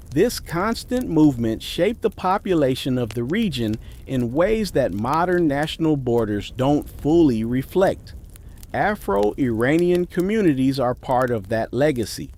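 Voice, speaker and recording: A man reading scripted documentary narration in a measured, emphatic way. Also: medium pitch, a little mellow. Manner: measured, emphatic list delivery.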